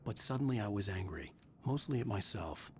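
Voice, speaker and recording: An actor playing a brooding, irritable novelist in a video game, grave and introspective, haunted but determined. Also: male voice, lowish voice, animated, a little fast, slight background noise, close to an everyday voice. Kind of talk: first-person noir narration and game dialogue. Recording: music behind the voice.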